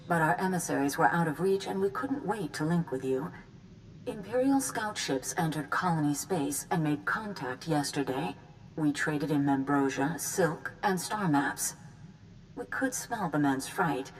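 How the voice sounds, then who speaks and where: Low-voiced hive colony emissary. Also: female voice, expressive. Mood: solemn. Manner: formal, measured. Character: courteous female hive-joined emissary from an insect colony. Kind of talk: scripted game dialogue. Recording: distant, noisy game transmission capture.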